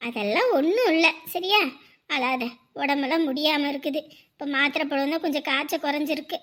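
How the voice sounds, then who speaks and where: A woman voicing a kind middle-aged neighbor who gently comforts a sick girl, in a one-person family skit. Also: sits high, a little fast, voice pushed down. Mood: soothing. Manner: gentle, soothing, reassuring.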